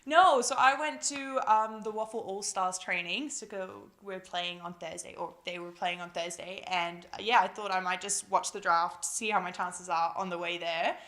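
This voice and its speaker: A young woman with a Malaysian accent, a newly drafted footballer, chatting excitedly in a casual interview at home, with lots of fillers and giggles. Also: fairly low pitch, normal speed, few pauses. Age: young adult. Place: busy house at home.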